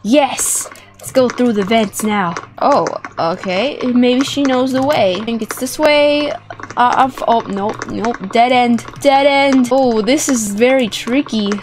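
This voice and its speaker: A young woman giving playful, excitable, dramatic commentary as she plays a video game. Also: on the high side, slow, slight background noise. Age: young adult. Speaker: gaming video host. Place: indoor room with plush toys. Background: music.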